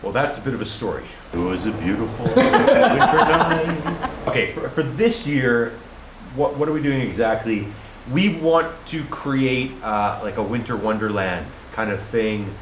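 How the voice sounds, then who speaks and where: A man's voice: mid-range voice, big pitch swings, unhurried, echoey room, a crowd in the background, poor audio. A young man from Canada chatting casually and joking, laughing often and repeating himself as he explains a holiday project. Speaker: friend on a vlog. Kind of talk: casual chat.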